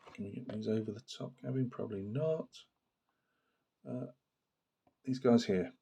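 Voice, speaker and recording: Hoarse, deep-voiced man chatting about a hobby. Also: warm tone. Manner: rambling, many fillers and restarts. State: hoarse from a slight cold. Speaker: miniature painting channel host. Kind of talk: casual hobby talk to camera.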